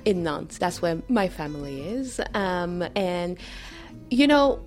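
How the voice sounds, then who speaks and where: Interview clip: woman business owner from Benin, low voice, emphatic and expressive. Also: slow pace, faint music behind. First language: French. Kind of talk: interview soundbites.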